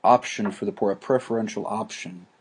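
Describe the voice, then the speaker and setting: A man teaching a class, explaining ideas slowly with frequent restarts and fillers. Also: fairly low pitch, fairly even intonation, slight background noise, low-quality recording. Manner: halting, many restarts and fillers.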